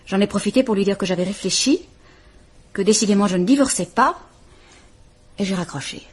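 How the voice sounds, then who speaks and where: An actress playing a frightened but stubborn wife in a French radio drama. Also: female voice, mid-range voice, brisk, slightly rough, close to an everyday voice. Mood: defiant.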